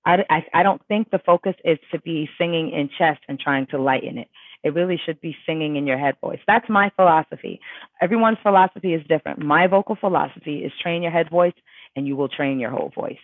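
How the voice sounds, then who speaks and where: A woman's voice: low-pitched, fairly quick, no room sound. A woman vocal coach in a casual livestream question-and-answer session, reading viewers' questions aloud and answering in a chatty, emphatic way with many fillers. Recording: tinny dry phone-like sound.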